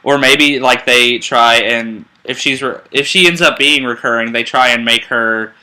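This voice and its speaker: Eager young man with a bright voice. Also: medium pitch, normal speed, low-quality recording. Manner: rambling, eager, restarts sentences. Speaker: podcast co-host. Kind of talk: casual fan chat.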